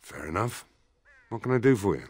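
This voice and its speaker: An actor playing a gruff, weary, skeptical village mayor in a fantasy video game, blunt and grumbling, with a husky voice. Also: male voice, on the low side, animated, fairly quick, darkish tone, no room sound. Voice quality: gravelly, husky.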